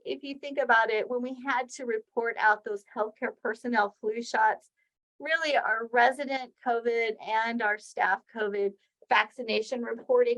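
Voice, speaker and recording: Higher-pitched woman presenting a training webinar. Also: fairly bright tone, rough recording. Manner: conversational, step-by-step explaining with examples. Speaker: presenter of a weekly healthcare training session. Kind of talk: explanatory webinar presentation with slides.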